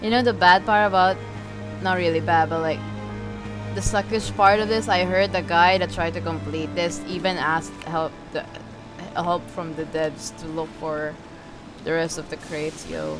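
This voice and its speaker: A woman's voice: fairly low pitch, steady tone, moderate pace. A woman giving casual, sweary let's play commentary as a game streamer, reacting aloud in an informal way. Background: video game music.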